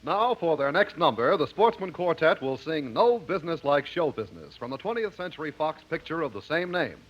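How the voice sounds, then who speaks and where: Male TV announcer, animated intonation, formal presentation, vintage broadcast style, noisy dull recording. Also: a little high, brisk. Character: male television announcer introducing a musical act. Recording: noisy, muffled 1950s radio recording.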